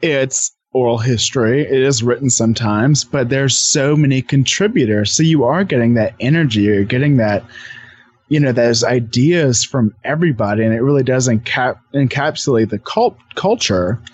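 A man's voice: mid-range voice, normal speed, darkish tone, slightly rough. A man talking as a podcast guest, eagerly explaining things in casual conversation. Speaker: podcast guest, co-host of own show. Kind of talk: casual interview chat.

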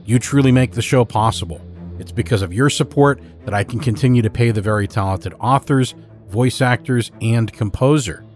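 A man's voice: medium pitch, normal speed, a little mellow. Man hosting a horror podcast over music. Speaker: podcast host. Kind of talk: scripted narration introducing a horror fiction podcast. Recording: music bed under the voice.

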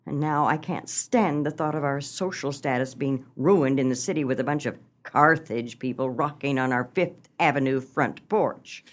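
A woman playing an excitable, socially ambitious wife in a humorous radio play, with a gushing, theatrical manner. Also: rumbling low, moderate pace, hardly any pauses. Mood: frantic complaint. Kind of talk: humorous stage-style radio play acting.

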